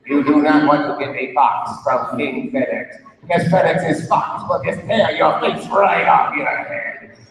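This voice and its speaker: A man's voice: a little high, unhurried, a bit bright, a little hiss or hum, pitched below the performer's own voice. A man voicing a pompous green male alien who talks in a stiff, formal, demanding way, recorded in an echoey hall from a distance. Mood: indignant. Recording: echoey hall, heard from far off.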